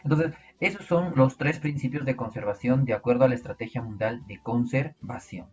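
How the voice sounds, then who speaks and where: A man's voice: medium pitch, normal speed, muffled recording, over music. A man with a Peruvian Spanish accent teaching an online biology class, explaining step by step and asking his students rhetorical questions. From Peru.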